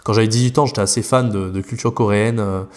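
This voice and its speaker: A man's voice: lowish voice, fairly even intonation, fast. A French man, a language teacher, talking casually as a podcast guest, rambling with many fillers and restarts.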